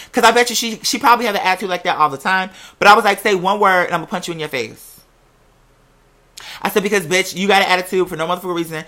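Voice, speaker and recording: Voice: mid-range voice, bright, forceful tone. A young adult vlogger telling personal stories in a casual, rambling way, with sassy, emphatic, profanity-laced slang. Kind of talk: casual rambling storytelling to camera or mic.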